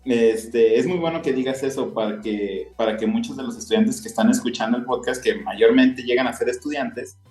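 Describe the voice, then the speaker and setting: A man hosting a podcast interview, chatting casually with quick asides. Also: medium pitch, darkish tone. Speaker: podcast host. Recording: roomy echo with faint music.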